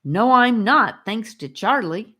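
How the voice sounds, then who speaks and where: A woman's voice: fairly high pitch, expressive, takes it slowly, pushed and bright, voice pushed up, heavily acted. A woman voicing a grumpy, sleepy young girl in a children's storybook, speaking firmly. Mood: sleepy, annoyed. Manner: grumpy, firm.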